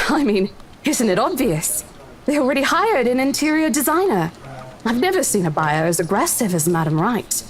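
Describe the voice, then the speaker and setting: An actress playing a brisk, matter-of-fact senior female office colleague in a visual novel. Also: on the high side, big pitch swings, slight background noise. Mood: pleased. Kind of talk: visual novel character acting.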